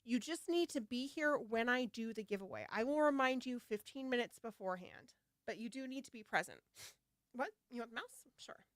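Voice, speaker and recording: A woman's voice: fairly high pitch, normal speed, no echo. A woman hosting a casual livestream for her shop, chatty and a little scattered, with a slightly nasal voice from a head cold. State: getting over a head cold. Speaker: yarn shop owner hosting a launch livestream.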